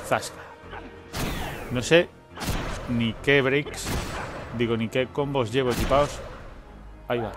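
A man's voice: mid-range voice, a bit bright. A man giving informal let's-play commentary, thinking aloud as he plays and swearing now and then. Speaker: gaming channel host.

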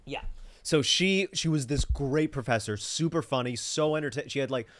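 A man's voice: medium pitch, normal speed, fairly bright tone, no echo. Young man, dry close mic, playful. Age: young adult. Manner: playful, teasing storyteller. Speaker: podcast co-host. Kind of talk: casual chat. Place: living room couch. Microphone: studio mic on boom arm.

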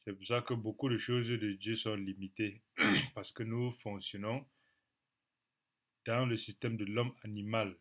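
Man, thirties, has a cold, Bible teaching, webcam at home, very slow delivery, lowish voice, repeats for emphasis. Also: steady tone, fairly bright tone. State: has a cold, clears throat. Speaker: preacher. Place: small indoor room at home.

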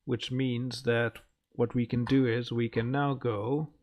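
A man's voice: mid-range voice, flat delivery, slow pace, a little mellow. A man giving casual let's play commentary as a gaming host, muttering and thinking aloud.

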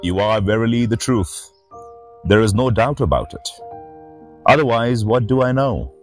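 A middle-aged man reading and commenting on scripture as a spiritual teacher, in a measured, reverent manner. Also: on the low side, animated. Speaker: spiritual teacher and channel host. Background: background music.

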